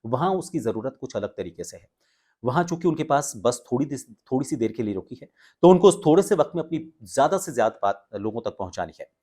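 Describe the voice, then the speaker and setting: A man giving an instructional talk to viewers as a voice coach, explaining with pauses and stress on key words. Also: medium pitch, very animated, warm tone, husky. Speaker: voice coach and video presenter.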